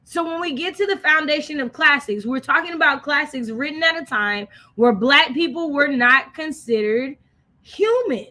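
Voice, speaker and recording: American woman, high-pitched, forceful bright tone, emphatic, repetitive phrasing, casual livestream co-host. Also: animated, moderate pace. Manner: emphatic, repeats phrases for effect. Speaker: co-host of a book discussion livestream. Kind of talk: casual live discussion.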